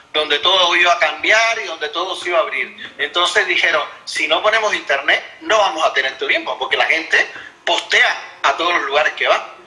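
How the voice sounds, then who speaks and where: A man, an author answering interview questions in a measured, explanatory way with frequent restarts. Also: high-pitched, bright, forceful tone, roomy sound, rough recording. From Cuba.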